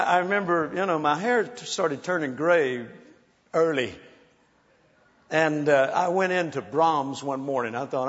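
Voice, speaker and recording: Man in his 60s, high-pitched pastor, exhorting, echoing through a big muffled hall. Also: fairly bright tone. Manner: exhorting, calls for praise, playful storytelling. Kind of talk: sermon. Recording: muffled sound in a large reverberant hall.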